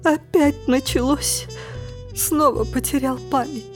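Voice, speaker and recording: A worried aunt in an audio play. Her voice is high and dramatic, full of anxious lament. Faint music sits behind her. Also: fairly even intonation, slow. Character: fretful, anxious aunt worrying over her eccentric relative.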